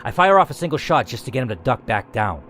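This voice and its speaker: A man's voice: medium pitch, very animated, quick pace, fairly bright tone, soft background music. A man narrating a story game dramatically and reacting to its choices with emphatic commentary, as a gaming host.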